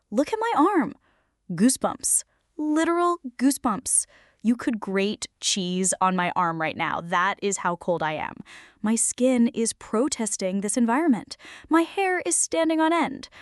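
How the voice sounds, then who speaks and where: Woman acting a flirty, dramatic friend, lively higher voice. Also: no echo, clean studio sound, close to an everyday voice. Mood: shivery complaining.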